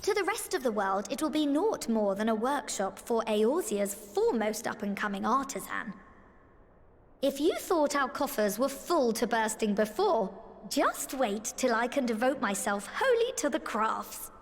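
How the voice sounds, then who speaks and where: In a long, echoing hall, a young female game character who is bubbly and enterprising. Her high voice swings in lively arcs as she proudly boasts like a born little businesswoman. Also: unhurried. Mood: gleefully scheming. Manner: animated, gleefully boastful. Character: bubbly, enterprising young woman, guild treasurer and artisan. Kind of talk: video game cutscene voice acting.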